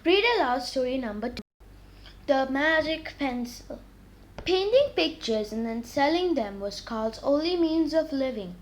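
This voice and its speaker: Female children's story reader, high-pitched, expressive and deliberate, scripted read-aloud delivery.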